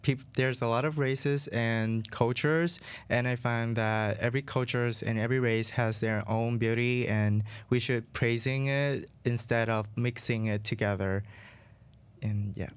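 A man's voice: medium pitch, fairly even intonation, clean tone, dry recording. Young man, Vietnamese accent, resonant clean tone, hesitant interview answers. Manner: halting, searching for words. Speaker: international student guest.

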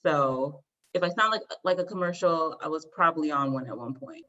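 Low-pitched female guest expert, calm and explanatory, on a dull-sounding lo-fi line. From Washington DC area, US. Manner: measured, explanatory, some fillers. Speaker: guest expert on student mental health. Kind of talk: interview answers.

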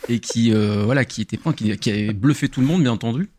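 A middle-aged man speaks as a podcast guest in casual conversation. His voice sits at an ordinary pitch, and he reminisces in a loose, conversational way. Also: fast, darkish tone, slight background noise. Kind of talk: casual chat, reminiscing about cartoons.

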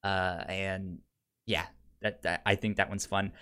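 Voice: deep voice, flat delivery, unhurried, pushed and bright, clear, resonant tone. A podcast co-host chatting casually about films, often stammering and repeating syllables and words. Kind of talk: casual conversational film chat.

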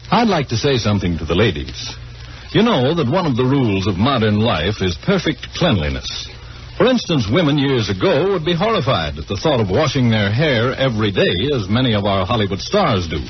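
A man delivering a scripted 1940s-style radio commercial as a pitchman. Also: sing-song, brisk, slight background noise. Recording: dull old radio transcription recording.

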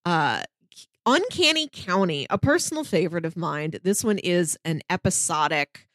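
A woman's voice: fairly low pitch, big pitch swings, moderate pace, fairly bright tone, no echo, studio quality. A woman co-hosting a podcast, chatting casually and enthusiastically as she recommends things in a discursive, conversational way. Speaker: podcast co-host. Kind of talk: casual review chat, recommending audio dramas.

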